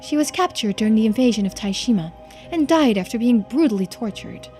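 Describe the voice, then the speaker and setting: A woman's voice: a little high, lively intonation, brisk, mellow, faint music behind, lightly acted. A woman voicing a long-lived, wistful keeper of mermaid lore in a game, explaining in a musing way. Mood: remembering, wistful.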